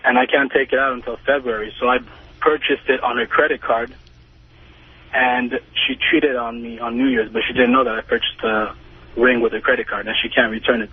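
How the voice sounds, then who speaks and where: A man's voice: medium pitch, little pitch movement, husky. Hesitant young man on a tinny phone. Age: early 20s. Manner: hesitant, halting. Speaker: radio show caller. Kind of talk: casual phone confession. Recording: tinny, noisy phone line.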